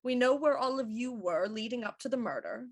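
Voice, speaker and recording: Shrewd female Victorian inspector, higher-pitched, deliberate and theatrical, for an audio murder mystery. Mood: confident deduction.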